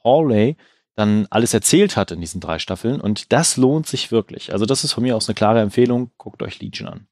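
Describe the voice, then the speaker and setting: A man co-hosting a casual film news podcast, speaking in a relaxed, affirming way and recommending titles. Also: on the low side, brisk, dry recording, clean studio sound. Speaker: podcast co-host.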